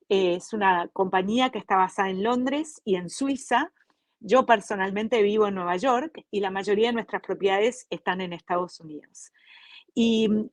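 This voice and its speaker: Woman, Argentine Spanish accent, company co-founder, businesslike, fluent, medium pitch, dry close sound. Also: no room sound. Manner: fluent, businesslike storytelling with brief fillers. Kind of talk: online interview.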